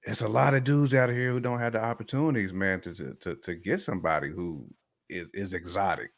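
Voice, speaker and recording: A man's voice: on the low side, a little fast. A man giving his opinions in casual, slangy conversation as a guest on a talk show. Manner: colloquial, slangy phrasing.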